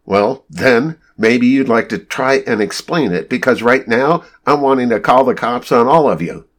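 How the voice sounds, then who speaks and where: A man's voice: mid-range voice, animated, normal speed, lightly acted. A man voicing an indignant, hot-tempered male company boss in a story, confrontational and prone to swearing. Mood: indignant, threatening.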